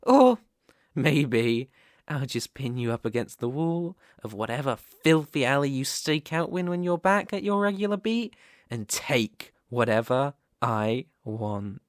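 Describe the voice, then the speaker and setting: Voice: medium pitch, big pitch swings, measured pace, dry recording. Sardonic vampire noble, close and dry performed by an actor. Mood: teasing, hungry. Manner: teasing, sardonic, foul-mouthed, with sighs and chuckles. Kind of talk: ASMR roleplay, one-sided character dialogue.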